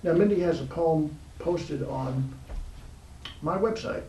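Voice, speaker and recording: Male interview host, mellow and gravelly, halting informal talk, distant mic with some room noise. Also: a little high, echoey room. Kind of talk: casual, unscripted interview.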